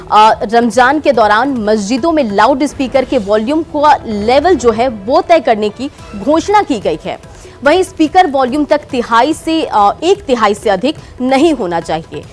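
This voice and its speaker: High-pitched woman news anchor, music behind. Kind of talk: scripted news narration with live anchor hand-off.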